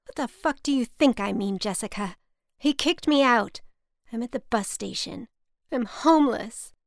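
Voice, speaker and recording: A woman's voice: mid-range voice, fairly quick, vocal fry, darkish tone, dry recording, clean studio sound, natural voice. A woman voicing a distraught, self-pitying older mother who is profane and accusing, in an audiobook story. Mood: indignant, desperate.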